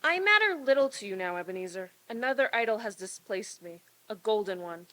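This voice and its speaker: A woman playing a tender young sweetheart in a radio-play reading, earnest and expressive. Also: mid-range voice, a little fast, close to an everyday voice, low-quality recording. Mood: sorrowful. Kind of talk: old-time radio stage reading.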